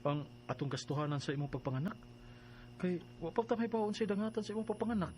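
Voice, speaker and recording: A man's voice: high-pitched, fairly even intonation, quick pace, darkish tone, a little hiss or hum, natural voice. A man acting an earnest family man in a radio drama, explaining things with emphasis. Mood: reassuring.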